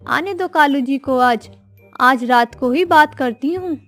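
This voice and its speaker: A discontented crow wife from a children's cartoon, acted by a woman, speaks in a high voice. She is dramatic and scheming, with a complaining way of putting things. Soft music plays behind her. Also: a little slow. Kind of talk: children's animated story acting.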